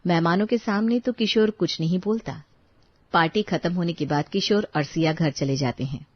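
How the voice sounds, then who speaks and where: A woman's voice: fairly low pitch, normal speed, highs cut off. A woman reading a scripted moral story as its narrator.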